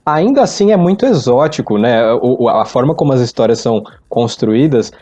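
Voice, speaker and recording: A man's voice: mid-range voice, lively intonation, moderate pace, dark tone. A man in his forties with a Brazilian Portuguese accent, chatting and telling anecdotes casually on a podcast. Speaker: podcast participant. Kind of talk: casual conversational storytelling. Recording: slightly dull, lo-fi microphone sound.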